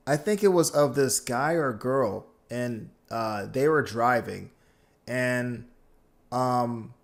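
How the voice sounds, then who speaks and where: A man hosting a reaction stream, chatting casually with lots of slang and swearing and telling stories in a loose, rambling way. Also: mid-range voice. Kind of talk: casual reaction chat, reading viewer comments.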